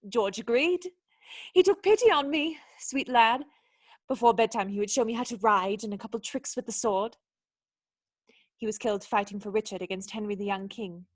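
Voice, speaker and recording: Radio play actress for a young noblewoman: high register, formal, defensive, secretly knightly and proud. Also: moderate pace, lightly acted. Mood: wistful. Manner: earnest, protesting, ladylike formal speech.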